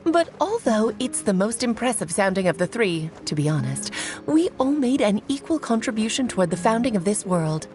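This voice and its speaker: A woman's voice: mid-range voice, big pitch swings, normal speed, darkish tone, lightly acted. A woman voicing a playful, chatty, self-assured goddess in a video game, breezy and theatrical. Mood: breezy, explanatory.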